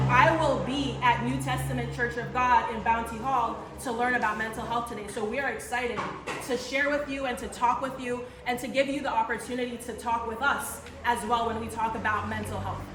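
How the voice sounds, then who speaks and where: A woman in her thirties, from Barbados, giving an earnest talk to a church audience and asking them questions. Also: on the high side. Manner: earnest, restarts phrases, asks the audience questions. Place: church in the Caribbean. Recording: echoey church room from far off, faint noise.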